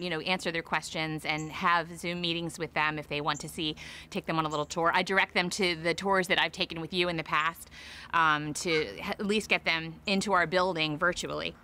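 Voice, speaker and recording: Woman in her forties, friendly and explanatory, bright tone, faint outdoor ambience. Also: deep voice, slight background noise. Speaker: school administrator. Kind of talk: interview for local TV feature. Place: outdoors by a school entrance.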